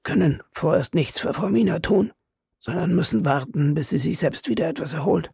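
A man voicing a calm older professor who speaks in a hushed, reassuring way in a read-aloud story. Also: mid-range voice, normal speed, creaky, no room sound, studio quality, voice pushed up, lightly acted. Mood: whispering.

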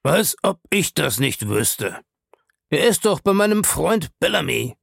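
A man voicing a shady, pushy male schemer in an audiobook, insistent, ironic and scornful, with a gravelly voice. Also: a little high, sing-song, unhurried, no echo, studio quality, voice pushed up, full character voice. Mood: impatient. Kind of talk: audiobook character dialogue.

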